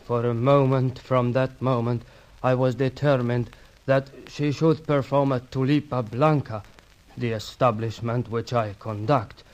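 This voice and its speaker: A dying nightclub owner, played by a man in an old radio melodrama. His medium-pitched voice is solemn and resigned. He confesses in formal, lovelorn phrases. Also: fairly even intonation, unhurried, a little mellow, natural voice, rough recording. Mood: regretful. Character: dying, lovestruck South American club owner confessing his sins.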